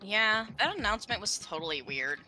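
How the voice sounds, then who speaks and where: A woman chatting casually and reading game lines aloud in an animated way. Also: mid-range voice, a little fast, faint music behind. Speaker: gaming video participant.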